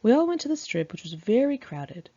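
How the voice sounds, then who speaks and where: A woman's voice: on the high side, sing-song, brisk, mellow. A woman reading her own memoir aloud in a candid, chatty, sassy conversational style, on a dull lo-fi home recording.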